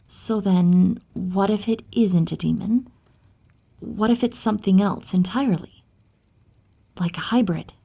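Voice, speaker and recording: A woman acting an inquisitive, determined divine healer and wife in an ASMR audio roleplay, soft and thoughtful, at times whispery. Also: mid-range voice, measured pace, vocal fry, long pauses, slightly rough. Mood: curious, thoughtful.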